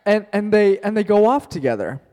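A man's voice: sits high, very animated, a little fast, darkish tone, long reverb tail. A male teacher telling a playful made-up story to his class, chatty and joking, calling on students by name.

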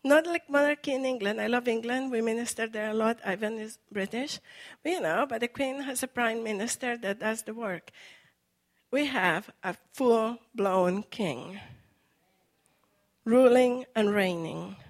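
A middle-aged woman from Costa Rica, a native Spanish speaker with a Costa Rican Spanish accent, preaching a sermon with animated storytelling and asides to her listeners. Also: a little high, a little slow.

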